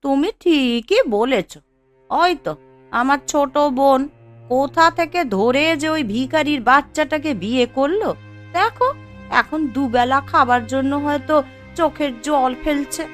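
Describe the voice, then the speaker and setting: A woman's voice: high voice, a little slow, soft background music. A woman voicing a well-off, demanding, haughty wife in a cartoon, with a scolding, boastful way of talking. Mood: smug. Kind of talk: Bengali cartoon dialogue.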